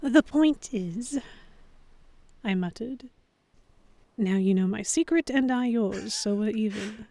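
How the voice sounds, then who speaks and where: A woman playing a young, flustered heroine who narrates a pirate romance in the first person, with expressive dramatic delivery. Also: medium pitch, takes it slowly, gravelly, pauses often, no room sound. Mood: breathless longing.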